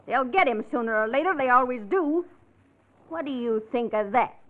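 A woman's voice: high voice, animated, moderate pace. Casting: a bitter, sneering foster mother, vintage crime radio, thin phone-like recording. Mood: sneering, taunting. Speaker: actress in a crime radio drama. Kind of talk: classic radio drama acting.

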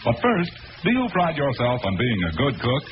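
A man announcing and pitching a sponsor in an emphatic, declamatory 1940s radio style. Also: wildly swooping pitch, normal speed. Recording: muffled, noisy old radio recording.

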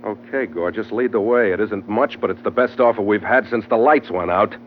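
A man's voice: fairly low pitch, a little fast, slight background noise, close to an everyday voice. An actor playing a tough, terse American sea captain who narrates his own adventure in an old radio drama. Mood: terse, wry. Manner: clipped hardboiled delivery. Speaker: lead actor and first-person narrator. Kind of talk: 1940s-50s radio adventure acting. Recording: tinny old radio recording, music behind.

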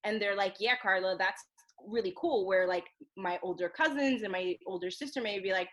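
A young adult woman, a journalist and program director, telling stories in a casual podcast conversation and acting out what others say to her. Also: medium pitch. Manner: animated, quick to act out quoted relatives and coworkers.

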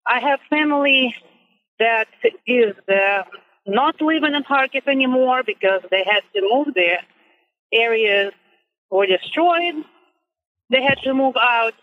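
Female caller, Ukrainian accent, lo-fi line, impassioned and halting argument. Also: fairly high pitch, expressive, slight background noise. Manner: halting, emphatic, with non-native grammar. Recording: dull lo-fi call-in line.

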